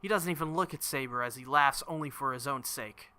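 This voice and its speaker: A man's voice: mid-range voice, brisk, bright, forceful tone, clean tone. A man hosting a let's play, reading visual novel text aloud in a dramatic narrating style. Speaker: let's play video host.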